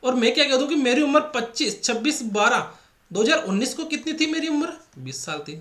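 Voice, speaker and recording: Animated man teaching math, echoey room. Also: very high-pitched, brisk. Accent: Rajasthani Hindi. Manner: repetitive, drills points with rhetorical questions to the class. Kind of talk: exam-prep math lesson.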